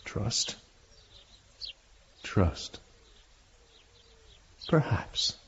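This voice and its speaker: An actor playing a troubled, sombre penitent in a radio drama, brooding and halting, with formal speech. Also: male voice, a bit bright, a little hiss or hum. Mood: subdued, weary. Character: troubled, sombre male penitent haunted by the past. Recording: muffled, lo-fi.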